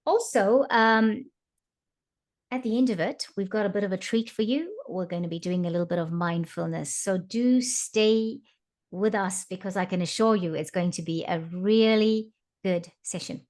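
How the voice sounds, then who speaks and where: A woman hosting an online interview who opens with a bright, welcoming manner and many filler ums. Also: mid-range voice, normal speed. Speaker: host.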